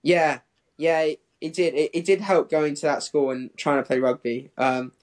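A man's voice: a little high, steady tone, moderate pace. A man in his twenties who grew up in Hong Kong and England, talking casually as an interview guest in a rambling way peppered with "like". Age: 20s. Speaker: professional distance runner, interview guest.

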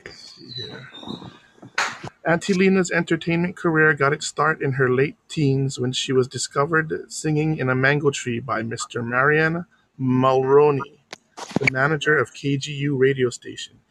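A man from Hawaii, reading a biography aloud on the radio and pausing now and then to explain local words in a casual aside. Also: mid-range voice, steady tone, noisy background.

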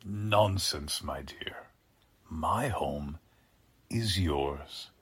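An actor playing a courtly but menacing mad scientist in a 1940s-style radio drama, with formal, pompous, halting delivery. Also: male voice, extremely low pitch, husky, heavily acted. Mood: falsely reassuring.

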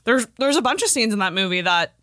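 A woman co-hosting a podcast in casual chat, expressive and quick to swear. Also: fairly high pitch, no echo, studio quality. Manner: expressive, profane. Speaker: podcast co-host.

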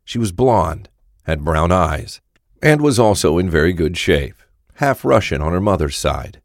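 A man's voice: low-pitched, sing-song, unhurried, dry recording, studio quality. A man narrating a scripted audio drama as storyteller.

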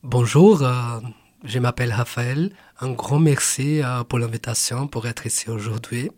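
A man's voice: mid-range voice, measured pace, darkish tone, slightly rough. A man in his twenties of Brazilian origin, a singer, introducing himself politely and a bit hesitantly in a studio. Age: 20s. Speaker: podcast guest, singer.

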